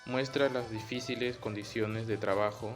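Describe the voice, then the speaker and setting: A man reading scripted educational narration in measured short phrases. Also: on the low side, steady tone, music in the background.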